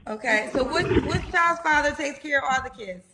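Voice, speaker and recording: A woman's voice: high voice, expressive, normal speed, noisy background. A woman hosting a call-in show, questioning a caller in a direct, probing, informal way. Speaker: call-in dating show host. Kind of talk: interviewing a caller.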